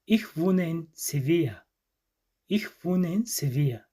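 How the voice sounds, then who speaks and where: Brief: a lost young man, slow and careful. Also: a little high, lively intonation, warm tone, slightly rough, heavily acted. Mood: unsure. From Spain. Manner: slow, careful learner's phrasing. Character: young man newly arrived, lost and unsure. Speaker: newcomer in a language-learning film.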